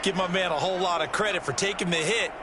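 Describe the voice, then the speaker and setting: A man's voice: high voice, quick pace, heavy background noise, natural voice. A man playing a seasoned, opinionated ex-player football analyst in a sports video game, explaining plays conversationally. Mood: engaged. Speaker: football color commentator, former defensive back. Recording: commentary over loud game audio.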